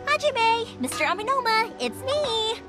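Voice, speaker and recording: A woman's voice: very high voice, sing-song, a little slow, over loud music, heavily acted. A woman playing a chatty, upbeat young fireworks shop owner in a video game. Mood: eager, pleading. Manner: exclamatory, talkative, upbeat.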